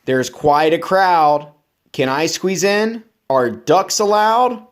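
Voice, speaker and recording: A man's voice: a little high, animated, slow, voice pushed up. A man doing a fussy, chatty duck. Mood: fussy, cheeky.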